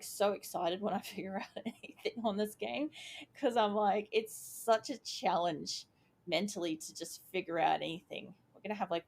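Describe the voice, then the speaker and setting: A woman playing a video game and chatting as she works it out, excited by each small success, her voice going croaky. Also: medium pitch. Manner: chatty, thinking aloud, easily excited. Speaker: gaming YouTuber. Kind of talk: casual let's-play commentary.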